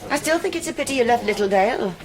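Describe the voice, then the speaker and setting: An actress playing a bossy, disapproving older farming woman in radio drama, with a brisk, candid, scolding manner. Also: a little high, lively intonation, a crowd in the background, close to an everyday voice. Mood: scolding, critical. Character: bossy, disapproving older farming sister-in-law, sharp-tongued. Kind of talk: BBC radio drama acting.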